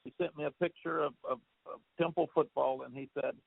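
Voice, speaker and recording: A football coach talks over a tinny phone line in a very gravelly, husky voice. He rambles, repeating words and filling gaps with "uh". The sound is dry and close. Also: a little high, fairly even intonation, brisk, no echo. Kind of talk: press conference call answers.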